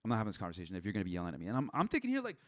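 A man's voice: on the low side, huge pitch swings, rapid-fire, no echo, clean studio sound. A young man talking casually and candidly as a podcast guest, blunt and given to swearing, acting out bits of past conversations as he goes. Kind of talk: casual personal chat.

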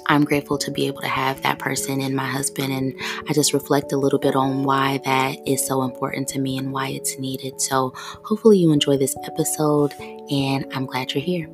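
Sleepy, low, gravelly woman podcaster. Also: a little fast, over music. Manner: relaxed, free-flowing, with soft laughs. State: sleepy morning voice. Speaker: podcast host. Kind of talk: casual solo podcast chat.

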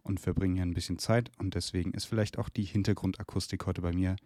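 Deep man with a cold, dry sound, steady podcast co-host guiding the talk. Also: quick pace, dry recording. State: has a cold. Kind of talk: casual theological podcast chat. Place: room in his parents' home.

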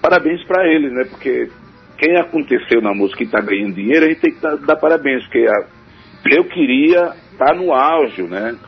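A man's voice: mid-range voice, lively intonation, normal speed, breathy edge. A man, a forró singer guest answering in a radio interview in a rambling, hesitant way full of fillers. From Northeast Brazil. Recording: dull radio broadcast, faint music.

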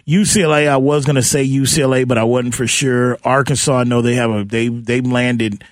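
Male sports talk host, informal and conversational, middling pitch, close dry sound. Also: steady tone, a little fast, no room sound.

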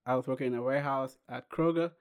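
A young man from Ghana talks about his life in a relaxed podcast interview. He speaks in a steady, level tone that barely rises or falls, and he often pauses and starts his sentences over. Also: medium pitch, clear, resonant tone, highs cut off. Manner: unhurried storytelling with pauses and restarts. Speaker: podcast guest, clothing brand founder. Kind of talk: casual interview chat.

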